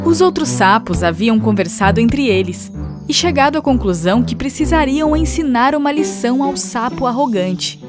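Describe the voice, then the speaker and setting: High-voiced Brazilian woman telling a fable. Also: expressive, moderate pace, over music. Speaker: narrator. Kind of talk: scripted children's fable narration.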